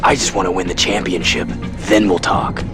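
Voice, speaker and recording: An actor playing a terse, truculent young combat racer, blunt and determined. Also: male voice, sits low, steady tone, normal speed, music in the background, lightly acted. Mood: defiant.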